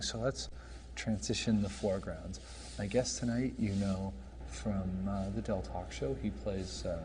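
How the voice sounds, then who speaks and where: A man's voice: low voice, background noise. A man hosting a comedic talk show, telling rambling, dry stories full of fillers. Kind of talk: soft-spoken comedic talk show chat.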